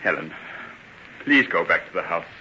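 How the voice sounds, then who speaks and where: Low, intense gentleman doctor. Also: lively intonation, moderate pace, exaggerated voice. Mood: urgent concern. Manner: earnest, polite, soothing but urgent. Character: concerned, courteous gentleman doctor, earnest and steadying. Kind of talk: old-time radio drama acting. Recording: dull, hissy old radio recording.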